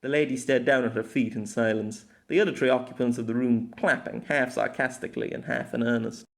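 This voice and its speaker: A man narrates a fantasy tale in the manner of an amateur audiobook. His voice sits at a middle pitch, and he reads scripted prose with a storyteller's sense of drama. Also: steady tone, fairly quick.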